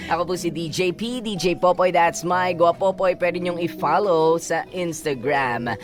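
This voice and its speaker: A man with a Filipino accent works as a radio co-host over a clear music bed. He talks casually, teasing and bluntly advising the listeners. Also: on the high side, unhurried.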